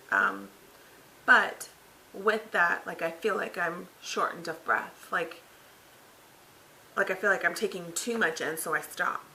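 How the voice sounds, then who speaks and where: A woman in her thirties with a raspy voice that cracks at times, sounding tired after throat surgery, giving a rambling, casual update full of fillers from her living room couch. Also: mid-range voice, bright, forceful tone, a little hiss or hum, rough recording. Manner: rambling, filler-heavy, self-correcting. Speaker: vlogger. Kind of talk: casual health update vlog.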